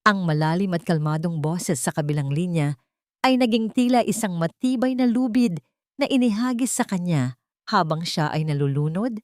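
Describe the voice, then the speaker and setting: A woman's voice: sits low, a little mellow, no echo, studio quality. A woman storyteller reading a scripted romantic drama in a solemn, sentimental manner. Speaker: storyteller, narrator.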